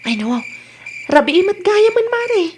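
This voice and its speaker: A woman's voice: very high-pitched, big pitch swings, moderate pace, darkish tone, slight background noise. A woman with an Ilocano accent playing a cheerful, gossipy village woman in a radio comedy drama. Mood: cheerful, chatty.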